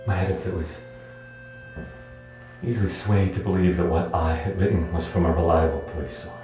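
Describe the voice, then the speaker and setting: Very deep, echoing guilty confessor, played by a man. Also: steady tone, fairly quick, mellow, natural voice. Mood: rueful. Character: rueful, guilty male writer making a confession. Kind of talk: period detective drama acting. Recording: heavy echo under guitar music.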